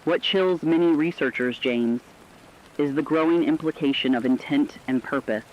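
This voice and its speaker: A man playing a grave radio news anchor, delivering scripted reports in a serious, measured and formal way. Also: fairly high pitch, highs cut off, a little hiss or hum, close to an everyday voice. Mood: grave, urgent. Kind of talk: scripted breaking news narration.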